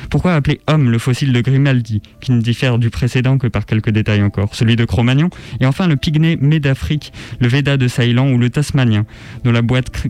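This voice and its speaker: A man's voice: medium pitch, darkish tone. A man giving a formal, emphatic, rhetorical reading of a literary text.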